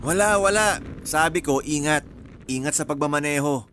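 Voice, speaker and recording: Man playing a cheeky smitten suitor, high-voiced. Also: normal speed, lightly acted. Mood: flirty teasing. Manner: teasing, flirtatious, easygoing. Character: persistent, cheeky, smitten male suitor, hardworking rice hauler. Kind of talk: radio drama acting. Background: music.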